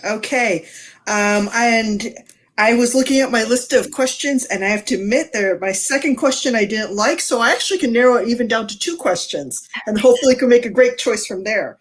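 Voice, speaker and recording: A woman's voice: fairly high pitch, fairly quick. A woman chatting casually as a game contestant on a video call, asking her questions. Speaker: game show contestant, student adviser.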